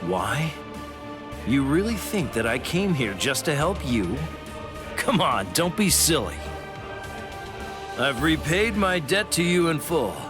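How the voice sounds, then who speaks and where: An actor playing a brash, hot-tempered warrior villain in an English anime dub, curt, taunting and bossy. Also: male voice, on the high side, sing-song, slow, long pauses, music in the background. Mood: mocking, commanding.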